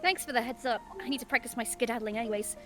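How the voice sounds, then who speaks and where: A woman reading aloud as a shy, flustered teenage schoolgirl in a visual novel, expressive and earnest. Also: on the high side, racing, music in the background, lightly acted. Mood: earnest narration.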